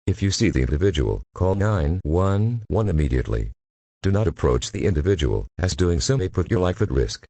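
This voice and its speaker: A man's voice: low-pitched, big pitch swings, fairly quick, mellow, no echo. A man reading a formal scripted emergency alert as an official announcer.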